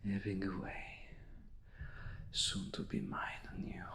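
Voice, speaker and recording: Guy doing a predatory male vampire asmr, super slow breathy whispering, savoring every word, possessive and poetic. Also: a bit bright, natural voice. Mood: possessive, tender.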